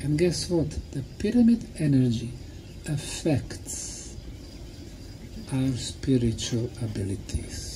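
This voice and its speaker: A man giving a public talk in short, deliberate, emphatic phrases, pausing often for an interpreter. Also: medium pitch, poor audio. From Bosnia. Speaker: lecturer. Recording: clear steady background noise.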